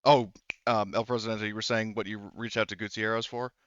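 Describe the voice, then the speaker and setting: A man's voice: lowish voice, flat delivery, a little fast, fairly bright tone, clear, resonant tone, dry recording, dull audio. A man talking casually as game master of a tabletop roleplaying game.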